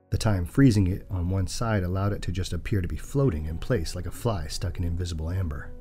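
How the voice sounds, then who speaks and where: An actor playing a methodical soldier recording a field log in an audio drama, with measured, deliberate delivery. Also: male voice, low-pitched, dark tone, natural voice.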